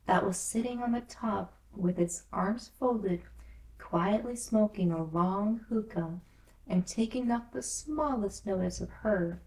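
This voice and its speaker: A woman's voice: medium pitch, warm tone. A woman reading a children's classic aloud as scripted storybook narration. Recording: roomy echo, distant from mic.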